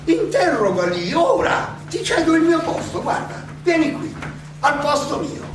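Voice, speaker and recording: A man acting an older, proud and ironic philosopher defending himself at trial in a halting, rhetorical stage monologue. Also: very high voice, very animated, heavily acted. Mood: mocking, challenging. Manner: halting, rhetorical questioning with dramatic pauses and emphatic swells. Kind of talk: theatrical stage monologue, courtroom oration. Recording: very echoey distant stage recording, noisy.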